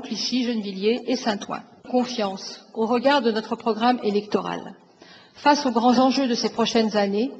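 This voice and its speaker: A woman's voice: fairly high pitch, a little mellow. Woman, formal council speech, prepared delivery, dull sound, hall reverb with noise. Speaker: city councillor. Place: large council meeting room.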